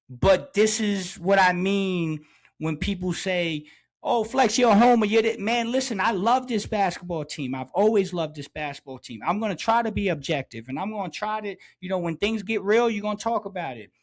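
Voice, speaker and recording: High-pitched middle-aged man, emphatic podcast talk. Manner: emphatic, repeats phrases for emphasis. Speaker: podcast host, basketball coach. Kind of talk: casual, slangy sports podcast storytelling.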